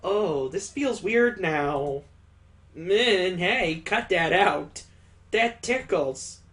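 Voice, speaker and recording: A man's voice: high voice, expressive, very slow, a bit bright, heavily acted. A man voicing a flustered, stammering visual novel girl. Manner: stammering, exasperated. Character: flustered, brooding girl, protesting teasing affection.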